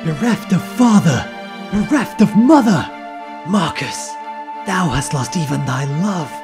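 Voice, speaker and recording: A woman playing a loyal male swordsman, declaiming solemn stage verse loudly in an audiobook drama. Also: on the low side, very animated, measured pace, over music. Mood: solemn, resolute. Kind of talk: theatrical audiobook acting.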